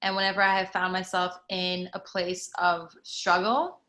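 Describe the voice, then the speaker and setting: A woman's voice: on the low side, level tone, normal speed, bright, forceful tone. A woman in her thirties from Costa Rica, a fitness instructor talking earnestly about her work in a casual panel chat. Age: 30s. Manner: earnest, reflective, frequent fillers.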